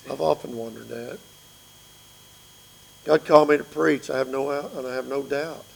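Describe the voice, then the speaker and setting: A man in his fifties with a raspy voice, preaching an earnest sermon that pleads with his listeners. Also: medium pitch, fairly even intonation, normal speed, low-quality recording.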